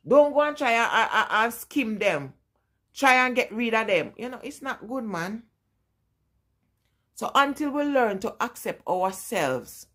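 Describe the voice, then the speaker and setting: A woman in her forties from Jamaica, with a Jamaican accent, chatting casually with live-stream viewers and repeating her points with emphasis. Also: a little high. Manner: emphatic and repetitive, talking straight to viewers. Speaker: vlogger.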